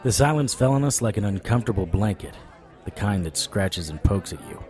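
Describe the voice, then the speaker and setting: Low, mellow wisecracking private eye played by a man. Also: expressive, a little fast, some chatter behind, lightly acted. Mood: brooding, narrating. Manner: wry, flirtatious, persuasive. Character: wisecracking, stubborn male supernatural private investigator. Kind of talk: audio drama acting with noir internal monologue.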